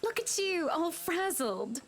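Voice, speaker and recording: A woman's voice: very high-pitched, very animated, slow, full character voice. An actress playing a gracious, teasing wealthy lady of the manor in a visual novel game, with a gushing, hostess-like manner. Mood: breezy, teasing.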